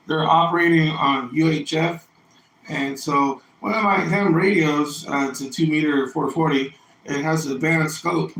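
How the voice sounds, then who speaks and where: A middle-aged man chatting casually as a ham radio operator, telling anecdotes and explaining things. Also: on the high side. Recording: roomy echo with faint noise.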